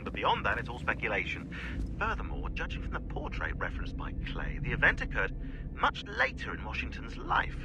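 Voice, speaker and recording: An actor playing a sardonic, fussy researcher in a video game, with a dry, wordy, sarcastic delivery. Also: male voice, mid-range voice, close to an everyday voice, low-quality recording. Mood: pedantic. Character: sardonic, fussy male researcher on a covert team. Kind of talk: video game cutscene acting.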